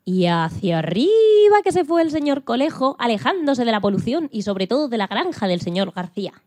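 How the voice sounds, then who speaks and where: A woman playing an excitable farm hen astronaut who tells her own story with playful dramatic emphasis in a children's radio play. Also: fairly high pitch, moderate pace, dry recording, studio quality. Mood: excited, dramatic. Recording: music behind the voice.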